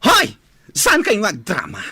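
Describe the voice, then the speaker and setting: Sharp, scolding Filipino woman, acted out. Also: mid-range voice, wildly swooping pitch, slow pace, vocal fry, slightly rough, exaggerated voice. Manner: scolding, emphatic. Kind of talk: Filipino radio drama acting.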